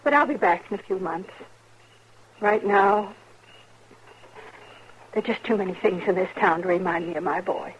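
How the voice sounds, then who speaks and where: An actress playing a proud, embittered older frontier mother in a 1950s radio western, husky and emphatic, with a folksy rural American accent. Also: medium pitch, moderate pace, pauses often, slight background noise, close to an everyday voice. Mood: resolute. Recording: dull, telephone-like 1950s radio recording.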